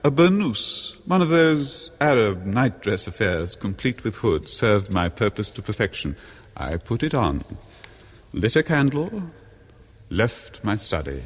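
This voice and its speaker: An actor in a 1970s horror radio drama playing a jealous husband, haunted and brooding, telling his murderous story in a halting, theatrical way. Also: on the low side, sing-song. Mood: sly, conspiratorial. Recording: long cavernous reverb on voice.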